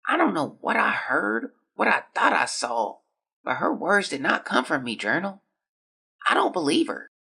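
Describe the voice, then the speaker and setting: An actor performs a sick young child in an audio drama, speaking a diary aloud. The voice is husky and bright, swinging widely in pitch. The delivery is plaintive, hesitant and frightened. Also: wildly swooping pitch, normal speed, exaggerated voice. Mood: anxious, confused.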